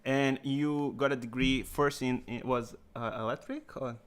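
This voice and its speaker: A man from Brazil with a Brazilian Portuguese accent, whose first language is Portuguese, hosting a livestream interview over a video call in a relaxed way, asking questions and speaking to viewers. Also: mid-range voice, moderate pace, clean tone. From Salvador, Bahia, Brazil. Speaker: host.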